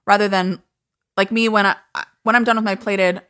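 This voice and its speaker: Female podcast co-host, skincare pro, bright forward tone, chatty explainer. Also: medium pitch, fairly even intonation, normal speed. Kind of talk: casual podcast chat.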